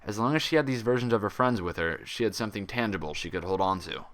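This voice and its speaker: A man's voice: on the low side, expressive, quick pace. A man narrating fan fiction as a scripted, read-aloud story.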